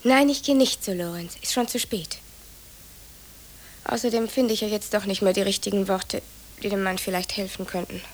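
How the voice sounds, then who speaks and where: An actress playing a hesitant, resigned office employee in a radio play, on a hissy old recording. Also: female voice, mid-range voice, steady tone, moderate pace, lightly acted. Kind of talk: German radio play acting.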